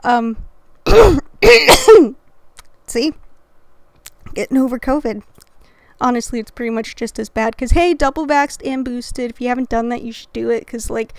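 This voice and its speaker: A woman chats casually on her reaction channel, rambling, restarting her sentences and getting heated. Her voice sits a little high and the sound is dry and close, with a bit of throat-clearing from a recent illness. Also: expressive, measured pace, pauses often, no room sound. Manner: rambling, self-interrupting, passionate. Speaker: reaction video host. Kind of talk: casual commentary.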